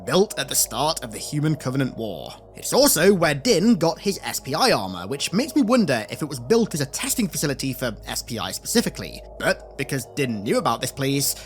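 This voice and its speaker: A male host gives energetic scripted commentary on a video game's story. His pitch moves around a lot, keeping the delivery animated. Faint music plays underneath his voice. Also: a little fast, few pauses. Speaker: YouTube host recapping video game story.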